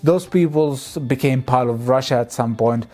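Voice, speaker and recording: A man, hosting a casual music vlog and answering viewer comments in a relaxed, chatty way. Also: mid-range voice, moderate pace. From Finland. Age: 30s. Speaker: music channel host.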